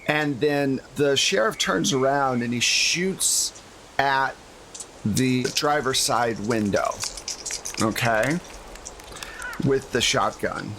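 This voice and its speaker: A man's voice: mid-range voice, slow, pauses often, fairly bright tone, poor audio. Man game master, faint noise behind. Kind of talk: improvised tabletop game narration.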